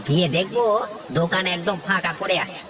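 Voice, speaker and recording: A man's voice: high voice, steady tone, brisk, natural voice. Man acting a folksy village idler, hissy recording. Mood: chatty. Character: chatty, idle village man, friend and gossip. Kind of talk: animated fairy-tale cartoon dialogue.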